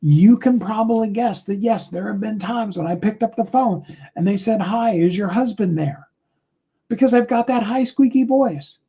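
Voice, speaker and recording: A middle-aged man with a high tenor voice, giving a rambling, earnest motivational talk on a live call, full of fillers. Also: normal speed, dark tone, breathy edge, lo-fi sound.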